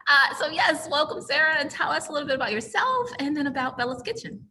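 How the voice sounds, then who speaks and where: Bright, eager woman host. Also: sits high, expressive, quick pace, a little hiss or hum. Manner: enthusiastic, laughs often. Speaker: program host. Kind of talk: hosting an informal conversation.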